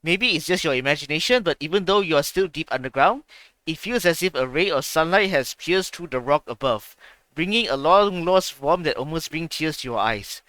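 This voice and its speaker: A man hosting a game reaction video, giving brief excited reactions and reading story text aloud. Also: a little high, moderate pace, dry recording. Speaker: gaming reaction host. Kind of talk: casual game reaction commentary. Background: game music.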